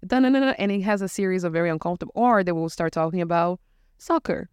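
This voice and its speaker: A woman from Brazil, co-hosting a casual chat about bartending, animated and quick to act out customers' lines. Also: on the low side, smooth, dry recording. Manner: animated, acts out customers' questions with laughs. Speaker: podcast co-host, bartender.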